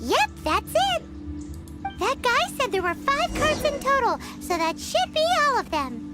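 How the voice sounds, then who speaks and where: A woman voicing a tiny, excitable, childlike fairy companion with a squeaky, chirpy voice in an anime game. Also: measured pace, over loud music, exaggerated voice. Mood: excited, chirpy. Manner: chirpy, refers to self in third person.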